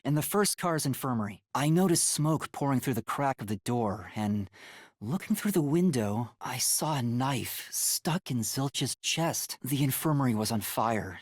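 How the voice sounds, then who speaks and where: An earnest young male detective from a video game. He sounds boyish and easily flustered, with hesitant phrasing and pitch that moves around a great deal. The sound is dry and clean, with no room around it. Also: dry recording. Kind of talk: English video game voice acting.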